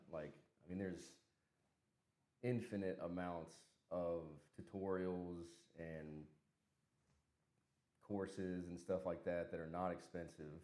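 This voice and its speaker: A man's voice: deep voice, level tone, slow pace, darkish tone. A man with a light Southern US accent, a podcast guest chatting casually in a laid-back way, laughing often. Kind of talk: casual chat. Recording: echoey room.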